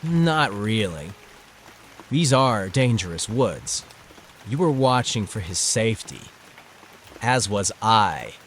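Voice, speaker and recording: Man acting a teasing, low-voiced protective brother. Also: very animated, slow, long pauses, a little hiss or hum. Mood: guarded. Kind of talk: audio drama dialogue.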